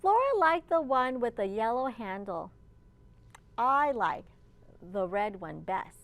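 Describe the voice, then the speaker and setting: Slow, high-voiced woman reading storytime. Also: very animated, fairly bright tone. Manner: slow, encouraging, asks listeners simple questions. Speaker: children's librarian leading storytime. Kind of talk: read-aloud storytime for young children.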